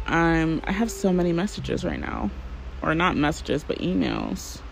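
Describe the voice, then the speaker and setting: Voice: mid-range voice, expressive, measured pace, vocal fry, faint music behind, rough recording. A podcast host in a casual solo monologue, rambling and chatty, with lots of fillers and the odd swear word.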